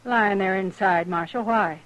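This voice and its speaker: Actress as a sharp, defiant frontier wife. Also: female voice, medium pitch, unhurried, close to an everyday voice, rough recording. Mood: defiant, bitter. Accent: rural American. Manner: blunt, combative, folksy. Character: hard, defiant, hostile frontier wife with a shotgun. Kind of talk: 1950s radio western acting.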